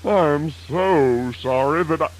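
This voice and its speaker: A man's voice: on the high side, slow. A man playing a sly, folksy trickster rabbit in children's radio theatre, with a Southern US accent and a drawling, mock-sorrowful manner. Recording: noisy old radio drama recording.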